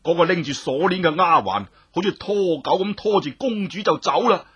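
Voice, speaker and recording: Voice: medium pitch, expressive, moderate pace, a bit bright, breathy edge. A Cantonese storyteller narrating an audiobook dramatically, with colloquial exclamatory asides. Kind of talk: animated scripted audiobook storytelling.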